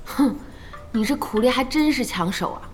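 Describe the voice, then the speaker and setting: A woman's voice: fairly high pitch, lively intonation, moderate pace, soft background music, close to an everyday voice. An actress playing a curious young female gamer with a teasing manner in a Chinese audio drama. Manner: teasing, inquisitive.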